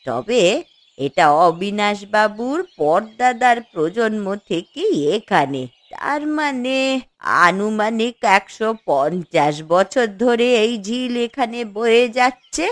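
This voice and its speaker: A woman voicing a gentle elderly village grandmother in a fairy-tale cartoon, speaking in a drawn-out, reassuring way. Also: mid-range voice, very animated, dry recording. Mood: kindly explaining.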